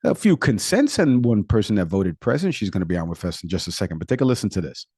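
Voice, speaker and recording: Low, mellow-voiced Puerto Rican man. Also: sing-song, quick pace, husky, no echo, clean studio sound. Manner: conversational, rattles off statistics with frequent fillers. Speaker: podcast co-host; product manager. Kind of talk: casual podcast commentary.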